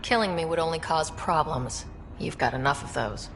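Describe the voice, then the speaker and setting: A woman's voice: deep voice, animated, moderate pace, long reverb tail, a little hiss or hum. A woman playing a composed young explorer hero in a video game, direct and dry in delivery. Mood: firm, challenging. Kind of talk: video game cutscene acting.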